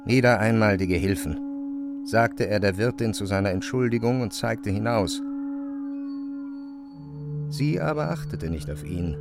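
A man reading scripted literary narration as a storyteller, in a measured, deliberate way. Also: on the low side, expressive, creaky, over loud music.

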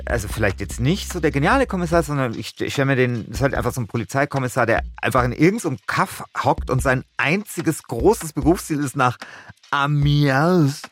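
Male co-host, mid-pitched, animated and playful, casual unscripted talk. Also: brisk, slightly rough.

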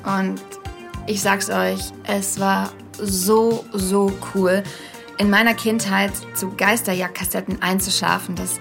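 A woman's voice: medium pitch, creaky. A woman playing an earnest young podcaster, narrating a fiction podcast in a confiding, conversational way straight to her listeners. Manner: confiding, addressing listeners directly. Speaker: podcast host.

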